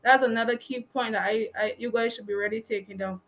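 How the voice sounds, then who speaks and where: A woman from Barbados with a fairly high voice leads a panel, encouraging and recapping the main points. The sound is somewhat dull and lo-fi. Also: fairly even intonation, fairly quick, vocal fry. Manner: encouraging, repeats key points. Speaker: panel moderator. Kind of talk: panel discussion.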